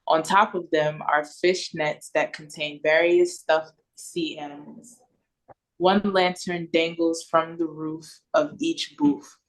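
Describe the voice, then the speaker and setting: A woman's voice: low-pitched, rough recording. A woman with an American accent reading stage directions as narrator at an audio play read-through. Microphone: video call.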